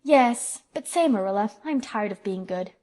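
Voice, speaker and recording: A woman voicing an excitable, chatty little boy who talks eagerly and fast. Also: mid-range voice, natural voice. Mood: wheedling.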